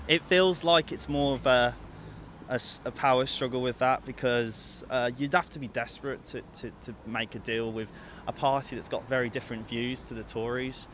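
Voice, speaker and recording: A man with a mid-pitched voice gives a halting opinion in a street interview. He repeats words as he searches for his point, with faint outdoor city noise behind. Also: fairly even intonation, a bit bright. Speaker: member of the public interviewed.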